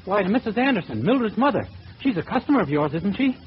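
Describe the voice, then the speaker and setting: A boyish, guileless young suitor in an old radio comedy. His voice is high and meekly polite, delivered deadpan through a muffled, hissy broadcast. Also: fast. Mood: polite, flustered. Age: young adult. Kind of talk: 1940s radio comedy acting.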